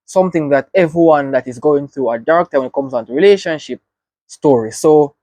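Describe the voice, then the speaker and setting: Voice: medium pitch, expressive, brisk, warm tone, no room sound. A reaction video host with a Jamaican accent, giving earnest, reflective commentary and often checking in with "right?".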